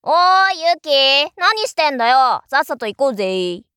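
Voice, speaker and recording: A woman voicing a lazy, tomboyish high-school girl who talks bluntly and in a boyish way. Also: sing-song, normal speed, a bit bright, dry recording, clean studio sound. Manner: blunt, boyish speech, drawn out.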